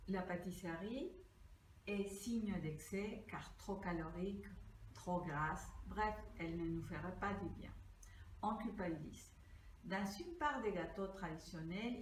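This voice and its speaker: Slow, earnest older woman from Peru. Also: fairly low pitch, slight background noise. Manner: slow, deliberate, earnest, reading from notes. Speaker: pastry cookbook author. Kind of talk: crowdfunding pitch. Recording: echoey room, mic at a distance.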